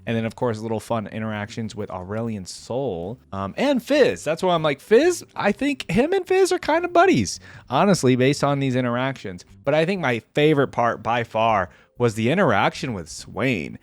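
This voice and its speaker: A man's voice: medium pitch, animated, normal speed, dry recording. A man hosting a casual game reaction video, talking in an informal, slangy, effusive way. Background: music.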